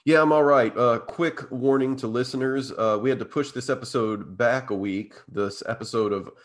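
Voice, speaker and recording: Slightly hoarse, blocked-up man chatting. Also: medium pitch, moderate pace. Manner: rambling, full of fillers and restarts. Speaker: podcast co-host. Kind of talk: casual chat.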